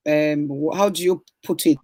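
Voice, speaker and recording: A man's voice: a little high, measured pace, a little mellow, dry recording, clean studio sound. A man hosting an interview, curiously and encouragingly asking his guest questions, sometimes searching for words. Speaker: host.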